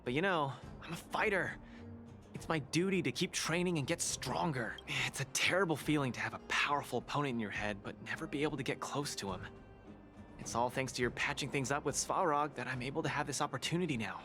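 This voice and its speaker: A man's voice: medium pitch, a little fast, pushed and bright, faint music behind, close to an everyday voice. An actor voicing a cheerful, boastful young male underworld fighter in video game dialogue who laughs things off.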